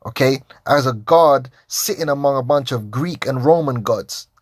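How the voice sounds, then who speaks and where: A man's voice: medium pitch, moderate pace, no echo, clean studio sound. A man giving confrontational religious commentary as a Hebrew Israelite preacher, challenging and profane, with many repeated restarts.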